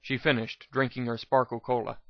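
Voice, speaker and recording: A man's voice: mid-range voice, little pitch movement, fairly quick, vocal fry, no room sound. Man reading a story, slightly muffled. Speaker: amateur narrator of fan fiction audiobook. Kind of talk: dramatic scripted story narration.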